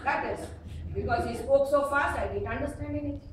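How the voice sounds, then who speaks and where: A middle-aged woman with a South Indian accent giving an expressive, humorous public speaking workshop with acted examples, recorded in a room at some distance from the mic. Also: sits high, a little fast, a loud crowd. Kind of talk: animated workshop talk with acted examples and anecdotes. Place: indoor meeting room before an audience. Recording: echoey room, distant mic, faint noise.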